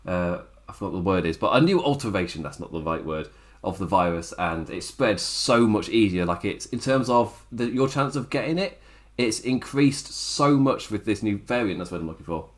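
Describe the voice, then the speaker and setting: A man with a somewhat low voice hosts a casual wrestling review show. His voice is a touch hoarse, and he rambles along with chatty asides and frequent little laughs. He often restarts sentences mid-thought. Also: animated, normal speed. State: slightly hoarse from overuse. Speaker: wrestling podcast host.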